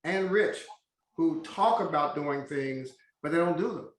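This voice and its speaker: A man hosting an interview in a measured, emphatic way, slowing down to stress key phrases. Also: a little high, lively intonation, roomy sound. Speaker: host.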